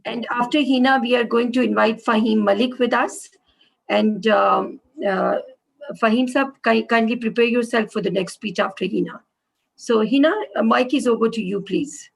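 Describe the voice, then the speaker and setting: A woman's voice: fairly high pitch, normal speed, gravelly, breathy edge, poor audio. Polite woman hosting a video call. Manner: polite, many hesitations and fillers. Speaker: meeting moderator. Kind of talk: hosting an online meeting.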